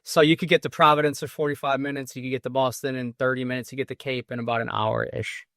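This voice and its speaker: A man's voice: mid-range voice, flat delivery, fast talker, a bit bright, no echo, studio quality. A man in his forties with a Massachusetts accent, a podcast guest chatting casually and rambling through his stories with many restarts. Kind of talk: casual interview talk.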